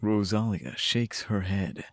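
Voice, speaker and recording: Male fantasy narrator, low-pitched, scripted storytelling read, close and dry. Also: animated, slow, dry recording.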